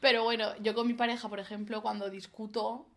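A young woman from Spain with a very bright, forward voice pitched a little high. She talks like a podcast co-host in casual chat, animated and outspoken. Her speech is full of everyday slang. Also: a little fast. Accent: Spanish (Spain).

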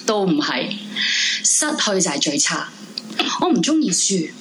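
An actress playing an introverted, brooding young woman in a radio drama, confiding in Hong Kong Cantonese with dramatic pauses. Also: fairly low pitch, sing-song, fairly bright tone, slight background noise, heavily acted, poor audio. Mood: bitter, wounded. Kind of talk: radio drama monologue in first person. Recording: music bed under the voice. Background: dramatic background music.

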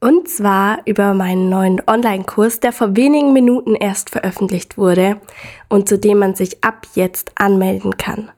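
A young woman hosting her own podcast, talking casually and enthusiastically straight to the listener, her voice slightly hoarse from a cold. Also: mid-range voice, moderate pace, darkish tone. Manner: upbeat, enthusiastic, addresses listener directly. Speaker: podcast host. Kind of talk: casual solo podcast talk.

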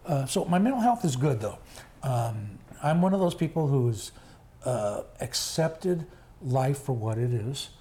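Older grandfather, hoarse and mellow, unhurried and halting in relaxed conversation. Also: mid-range voice. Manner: slow, halting, many fillers. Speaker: grandfather being interviewed. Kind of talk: casual family interview.

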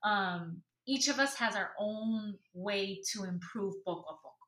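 A woman from the Los Angeles area speaking as a podcast guest, explaining money matters in an earnest and conversational way. Her voice is bright and mid-pitched. A roomy echo hangs around her words. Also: a little slow. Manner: earnest, explaining, uses "like" and "you know" a lot. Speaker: podcast guest, finance educator. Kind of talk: casual interview chat.

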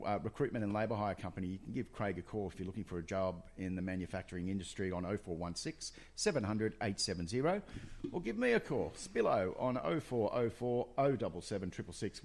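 A man's voice: fairly low pitch, darkish tone. A man hosting a casual talk show, chatty and effusive. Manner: chatty, effusive, fast bursts. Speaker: host. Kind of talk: casual radio chat.

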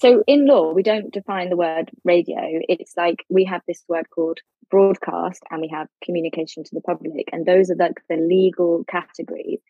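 A woman's voice: fairly low pitch, a little mellow, breathy edge, no room sound, highs cut off. A woman speaking as a legal expert guest, explaining things informally and plainly with everyday examples.

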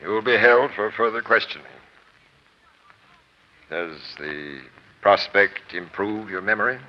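Man playing a low-voiced, dogged police captain. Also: unhurried, lightly acted. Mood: stern, pressing. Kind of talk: 1940s radio crime drama acting. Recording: dull, band-limited old radio recording.

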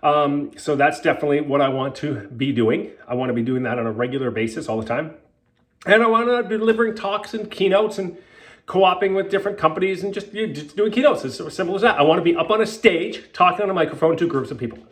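A man's voice: a little high, quick pace. A man hosting his own podcast as a health coach, talking candidly and emphatically with fillers and the odd swear, a little sniffly from a cold. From Canada. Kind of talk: casual solo podcast monologue.

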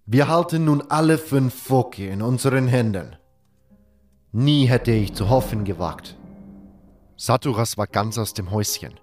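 A man's voice: mid-range voice, expressive, a little slow, a little mellow, faint music behind. A man narrating a fantasy audiobook in the first person from a script.

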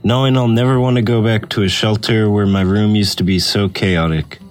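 A man with a low voice reads a personal essay as scripted narration. Faint guitar music plays behind him. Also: fairly even intonation, normal speed. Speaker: essay reader.

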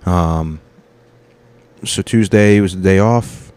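Low-voiced rambling man, casual profane chat. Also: slow, a little mellow, clean tone. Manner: rambling, profane, self-correcting. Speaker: comedian and podcast host. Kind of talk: casual solo podcast monologue.

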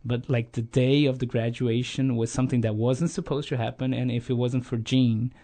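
A man from Brazil speaks English with a Brazilian Portuguese accent in a relaxed interview. His pitch sits in the middle. He is sincere, pausing often with fillers and starting over mid-sentence. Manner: hesitant, many fillers and restarts, earnest. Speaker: guest. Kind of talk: casual interview conversation.

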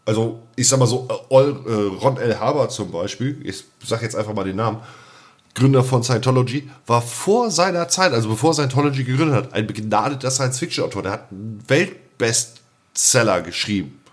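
A man co-hosting a podcast, chatting casually in a colloquial, rambling and somewhat halting way. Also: medium pitch, expressive. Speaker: podcast co-host. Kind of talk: casual chat about science fiction.

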